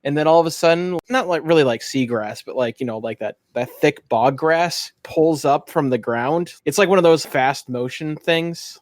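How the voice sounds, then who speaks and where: A player with a mid-pitched voice casually describes the action in a tabletop game. The delivery is informal and a little wry, recorded close and dry. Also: dry recording.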